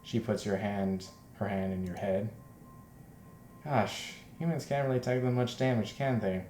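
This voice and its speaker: A man reading a visual novel aloud as a let's player, breaking in with loud, repetitive joking reactions. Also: fairly low pitch, steady tone, measured pace. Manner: exclaims loudly and repeats himself in reaction. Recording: roomy echo, faint background noise.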